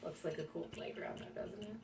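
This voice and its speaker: A woman's voice: on the low side, a little fast. A woman reading a picture book aloud to young students, stopping often for friendly remarks. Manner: gentle, adds friendly remarks to young listeners. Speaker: school staff reading to students. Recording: echoey room, far from mic, faint noise.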